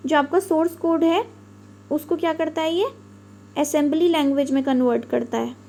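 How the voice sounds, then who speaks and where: High-voiced woman teaching computer basics. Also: mellow. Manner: patient, repeats points with everyday examples. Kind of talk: explanatory lecture.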